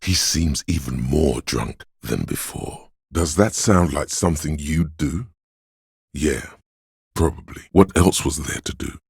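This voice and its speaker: A man voicing the hedonistic inner voice of addiction in a video game, a sleazy tempter in the brain with a gravelly, husky, goading drawl. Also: a bass voice, lively intonation, measured pace, no echo, studio quality, exaggerated voice. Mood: insinuating.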